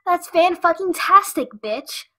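Female actor as a mouthy schoolgirl, audio drama; sharp, high, brash. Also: animated, a little slow, full character voice. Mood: brash, excited. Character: brash foul-mouthed schoolgirl, easily bored.

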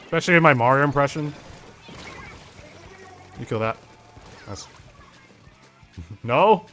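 A man's voice: mid-range voice, expressive, a little slow, a bit bright, soft background music. A man with an English accent chatting casually with his viewers while he plays a game, answering their questions and often calling them "dude". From England. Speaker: game streamer. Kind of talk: casual live-stream chat while gaming.

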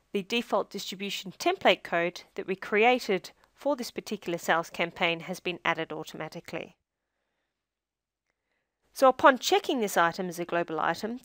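A woman with a slightly low voice narrates a software demonstration in a measured, instructional way. Her phrases tend to trail down at the ends. The recording is dry and close. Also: no room sound. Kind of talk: scripted tutorial narration.

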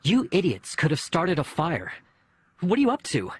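A man's voice: a little high, huge pitch swings, normal speed, breathy edge, voice pushed up, exaggerated voice. A man voicing an exasperated, bossy girl in an audiobook, sharply scolding. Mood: cross. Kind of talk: audiobook character voice.

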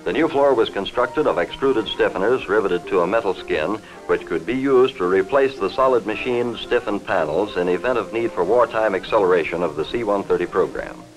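An American man reading formal, measured, factual scripted narration in the style of a 1950s industrial newsreel, on a vintage film soundtrack. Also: fairly low pitch, soft background music, poor audio.

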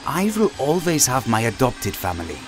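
Male hero actor: gentle and earnest, animated intonation, courteous manner, audio-drama style. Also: medium pitch, moderate pace, faint music behind, close to an everyday voice. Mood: tender, playful.

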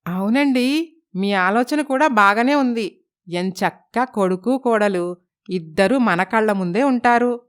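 A woman's voice: medium pitch, a little slow, smooth, close to an everyday voice. A woman voicing a fond, eager mother of a grown son in a story, explaining with animation.

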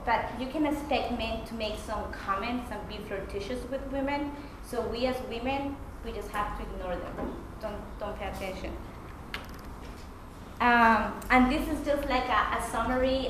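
Young woman from Colombia, student presenter, relaxed chatty delivery; echoey classroom, far camera, audible hiss. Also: fairly high pitch. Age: young adult. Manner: gestures while talking, relaxed and chatty. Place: classroom with projector screen.

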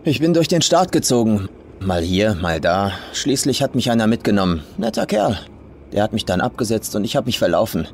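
A man's voice: lowish voice, very animated, a little fast, darkish tone, a little hiss or hum. A man voicing a guarded hitchhiker, no longer young, who speaks in casual, clipped phrases in an audio horror story. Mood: eager, casual. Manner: casual, clipped colloquial speech.

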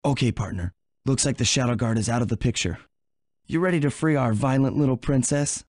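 A mid-pitched male voice for a cartoonish game hero, played by a man. He is a young gentleman thief, cocky and wry, recorded dry and close. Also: fairly quick, no room sound, natural voice. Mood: breezy, confident. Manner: breezy, wry. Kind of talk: video game voice acting.

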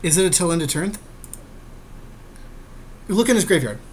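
A man's voice: high-pitched, lively intonation, normal speed. A man chatting casually as a gameplay podcast co-host, agreeable and joking, with some swearing. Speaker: podcast co-host commenting on card game. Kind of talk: casual chat, gameplay commentary. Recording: clear background noise.

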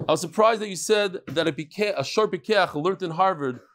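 A middle-aged man leads a daily Talmud class in a large wood-paneled study hall, reading emails aloud. His voice sits a little high, and he throws chatty asides and Hebrew terms to the room around him. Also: a little fast, a bit bright. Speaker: Talmud teacher.

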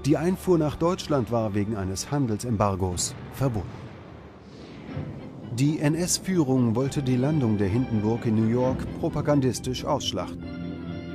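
A man reading scripted documentary narration in a measured, dramatic way. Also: medium pitch. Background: music bed.

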